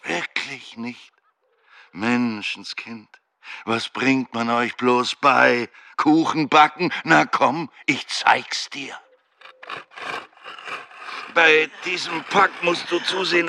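Sneering, slow-talking cruel soldier. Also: male voice, on the high side, sharp tone, heavily acted. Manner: taunting, drawn-out, condescending. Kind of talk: German radio drama acting.

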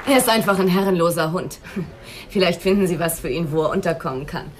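A woman's voice: fairly low pitch, fast, noisy background, natural voice. An actress playing a glamorous, flippant woman in a noir radio drama, flirtatious and coolly dismissive with a theatrical manner. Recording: bar music and crowd behind. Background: music and crowd of a bar scene.

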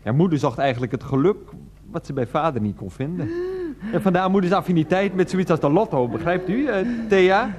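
A man's voice: a little high, expressive, brisk, a crowd in the background, close to an everyday voice. An actor playing a bemused, polite grown son in a radio play, hesitant and stammering as he talks. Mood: fond, chatty. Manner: hesitant, stammering, polite, verbal tic at sentence ends. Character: bemused, hesitant grown son defending his football-mad mother. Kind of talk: Dutch radio play acting. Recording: clear background noise with hum.

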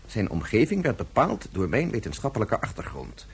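A principled scientist in a radio play, arguing in formal, articulate sentences. The voice is very rough and husky, with a strongly swinging intonation. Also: male voice, mid-range voice, huge pitch swings, normal speed, heavily acted. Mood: earnest, reproachful. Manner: formal, argumentative. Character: principled scientist father, articulate, grimly reasoning. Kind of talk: radio drama acting.

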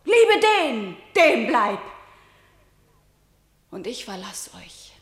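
An actress playing a defiant, grieving young princess facing death, in intense declaimed verse for radio. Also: female voice, fairly high pitch, sing-song, very slow, fairly bright tone, exaggerated voice. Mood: resigned grief. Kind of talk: stylised verse radio drama acting. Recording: echoey, reverberant room.

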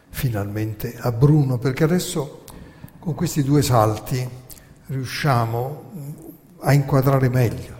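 A man tells history as a theatrical lecture, stressing key words and pausing for effect. His voice sits at a middle pitch for a man. The long reverb of a large hall trails behind every phrase. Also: dark tone, a little hiss or hum. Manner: emphatic, with dramatic pauses. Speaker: narrator of a staged history lecture.

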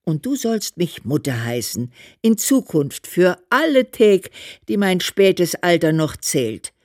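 A woman playing an affectionate, gently chiding old mother reading a letter aloud in a German radio play. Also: on the low side, takes it slowly, dry recording, clean studio sound. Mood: tender. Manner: expressive, chiding then tender.

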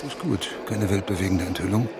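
Low-voiced smug newsman played by an actor, street noise. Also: brisk, close to an everyday voice. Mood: smug, musing. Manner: muttering to himself, reading aloud. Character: self-satisfied, muttering male newspaper journalist. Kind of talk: radio play acting. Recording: loud outdoor street and traffic noise.

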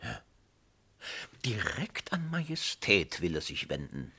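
An actor in a German radio drama playing a fussy, anxious old government minister, hesitant and deferential in a mannered way. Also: male voice, low-pitched, wildly swooping pitch, takes it slowly, pushed and bright, husky, full character voice. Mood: anxious, fretful. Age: older.

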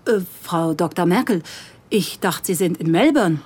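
An actress playing a wary, politely sceptical female party leader in a satirical radio play, with a husky voice. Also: lowish voice, animated, normal speed, a little mellow. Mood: surprised, guarded.

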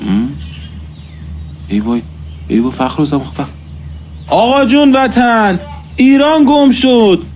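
An actor playing an eager, anxious, deferential young son with colloquial speech in a nostalgic radio drama. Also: male voice, extremely high pitch, sing-song, long pauses, darkish tone, slight background noise. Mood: puzzled, then alarmed. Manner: colloquial, obedient, easily alarmed. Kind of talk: nostalgic 1960s-set radio drama acting.

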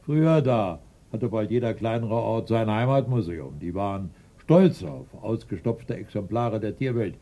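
An actor playing a gruff, curt, nostalgic older retired taxidermist in a German radio drama, dry in manner. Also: male voice, fairly low pitch, lively intonation, unhurried, few pauses, warm tone. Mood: wistful.

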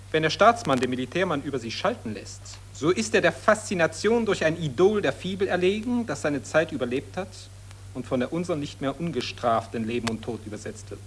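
An actor playing a sardonic critic who answers with dry, biting sarcasm. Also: male voice, a little high, slightly rough. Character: sardonic grumbling male critic.

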